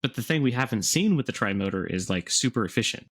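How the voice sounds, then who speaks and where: A man's voice: mid-range voice, expressive, fast, a bit bright. A man co-hosting a podcast, explaining things in casual conversation. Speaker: podcast co-host.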